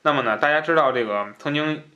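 A man's voice: fairly high pitch, quick pace, sharp tone, lo-fi sound. A man hosting a book podcast, chatting in a colloquial way and given to chuckling at his own asides. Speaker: podcast host. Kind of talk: casual book talk show chat.

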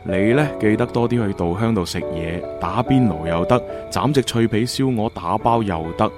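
A man's voice: on the low side, moderate pace, over music, close to an everyday voice. A man acting a caring young boyfriend in a Cantonese radio drama, earnest and playfully teasing. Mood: tender, reassuring.

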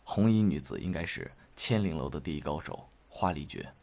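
A man's voice: extremely low pitch, animated, moderate pace, vocal fry, darkish tone, slight background noise, exaggerated voice. A man playing a dutiful, serious attendant reporting respectfully in a Chinese period radio drama.

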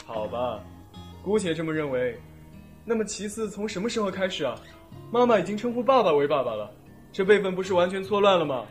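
A man's voice: high voice, very animated, brisk. A man acting a caring but exasperated teenage schoolboy and older brother in an audio drama. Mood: dry. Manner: dry, long-suffering. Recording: music playing behind.

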